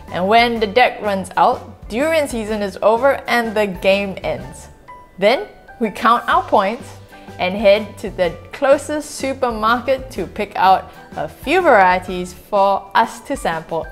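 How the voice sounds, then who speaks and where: A woman in her forties from Singapore, hosting a family board game channel with clear, measured, upbeat scripted narration recorded in a living room. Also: mid-range voice. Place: home living room, indoors.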